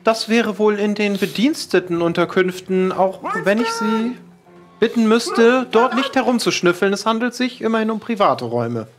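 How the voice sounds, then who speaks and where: A man voicing a prim, discreet female housekeeper with a formal, measured, politely disapproving manner in a role-play audio drama. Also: high voice, music in the background, pitched above the performer's own voice, lightly acted. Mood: reserved, disapproving.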